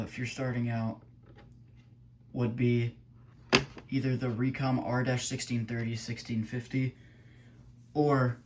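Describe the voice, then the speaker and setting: A man's voice: mid-range voice, a little mellow. Casual man reviewing gear, background noise. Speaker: weather radio hobbyist reviewing gear. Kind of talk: casual unscripted product review.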